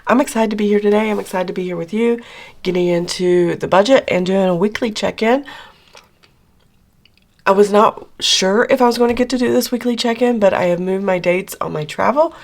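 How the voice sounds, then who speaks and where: A middle-aged woman, hoarse after losing her voice, chatting casually through her weekly budget check-in with lots of fillers. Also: medium pitch, brisk. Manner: chatty, rambling with many fillers. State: hoarse, recovering from lost voice. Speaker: budgeting channel host.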